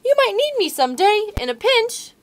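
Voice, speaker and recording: Woman voicing a tiny male mouse, squeaky, high, pleading, storybook read-aloud. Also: very animated, moderate pace. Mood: earnest. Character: small frightened eager male mouse.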